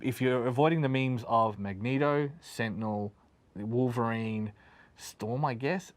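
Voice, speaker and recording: A man's voice: mid-range voice, a little slow. A man co-hosting a casual video game discussion, speaking in an opinionated, emphatic way. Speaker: show co-host.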